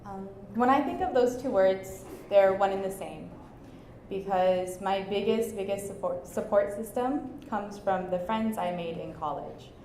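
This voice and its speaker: A woman's voice: medium pitch, measured pace, darkish tone, slight background noise. A young woman in her twenties, a scholarship recipient, answering questions on stage in an earnest, personal way. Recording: echoey room with faint ambience.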